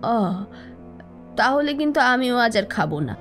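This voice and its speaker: A woman playing a gentle, caring village mother in a cartoon. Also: fairly high pitch, sing-song, unhurried, soft background music. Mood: affectionate coaxing. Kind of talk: animated cartoon moral-story acting.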